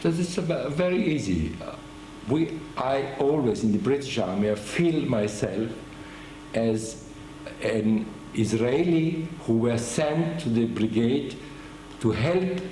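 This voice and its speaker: An older man giving an oral history interview, speaking haltingly with many restarts and fillers. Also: medium pitch, lively intonation, dark tone, echoey room, slight background noise, rough recording. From Germany. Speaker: veteran interviewee.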